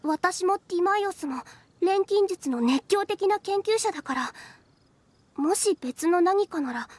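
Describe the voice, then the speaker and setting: A woman's voice: extremely high pitch, animated, unhurried, no room sound. A woman voicing a shy, earnest young female alchemist in an anime game, slightly flustered. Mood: earnest, intrigued. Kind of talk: Japanese anime game voice acting. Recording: game music behind.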